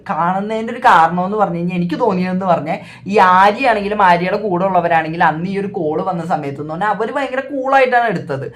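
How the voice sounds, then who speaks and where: A reaction video host with a Kerala accent, giving opinionated, repetitive casual commentary. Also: medium pitch.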